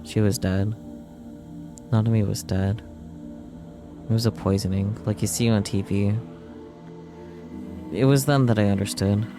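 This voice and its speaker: Male game streamer, low voice, narrates story text and drops wry casual comments over prominent music. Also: fairly even intonation, measured pace, long pauses. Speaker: streamer playing a visual novel. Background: video game music.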